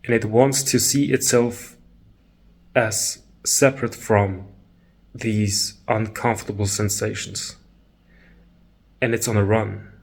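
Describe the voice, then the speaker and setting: Male meditation guide, lowish voice, very slow pace, calm, long pauses, short phrases. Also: gravelly.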